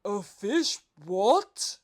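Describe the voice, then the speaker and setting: A woman voicing a hungry, exuberant male pelican in a children's storybook, with loud, drawn-out theatrical delivery. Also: medium pitch, big pitch swings. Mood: puzzled.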